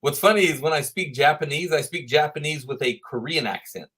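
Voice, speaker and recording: Bright-voiced Texan man telling stories. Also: fairly high pitch, a little fast, slightly rough. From Texas. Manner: animated, laughs while telling stories. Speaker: podcast guest. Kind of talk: storytelling interview chat.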